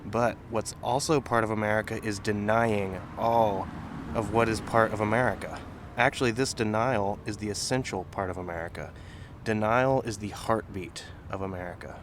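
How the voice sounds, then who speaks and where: A man reads an essay aloud in measured, deliberate phrases with pauses. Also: fairly low pitch, steady tone, noisy background. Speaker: reader at a table reading.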